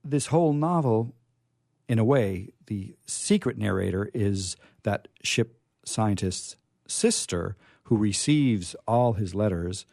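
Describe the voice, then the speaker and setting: A man hosting a casual literary discussion, explaining things in a halting, hesitant way. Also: lowish voice, lively intonation, vocal fry, darkish tone, no room sound, clean studio sound. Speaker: host.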